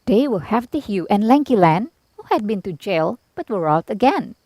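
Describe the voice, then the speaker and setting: Animated woman reading a children's story. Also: medium pitch, moderate pace, no echo. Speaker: storybook reader. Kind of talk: expressive children's storybook narration.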